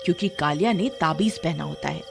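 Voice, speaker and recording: A woman's voice: low-pitched, brisk, creaky. A woman narrating a scripted cartoon horror tale in a dramatic storytelling manner. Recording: loud music under the voice. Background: loud background music.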